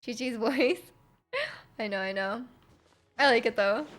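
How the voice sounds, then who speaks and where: A woman's voice: a little high, lively intonation, slow, fairly bright tone. A young woman streamer reacting casually to gameplay, with exasperated, slangy commentary. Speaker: game streamer.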